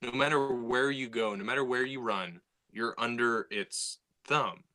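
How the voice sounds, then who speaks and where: A man co-hosting a podcast, talking in a casual, animated way and often restarting and repeating words. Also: medium pitch, a little slow, rough recording. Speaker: podcast co-host.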